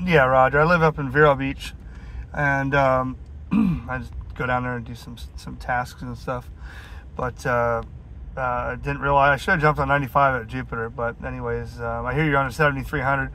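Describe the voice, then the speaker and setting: A man's voice: mid-range voice, flat delivery, normal speed, bright, forceful tone, slight background noise. A man calling over CB radio from a vehicle, chatting casually with slangy radio lingo. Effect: heard over CB radio. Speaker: mobile CB radio caller. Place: inside a vehicle on a highway.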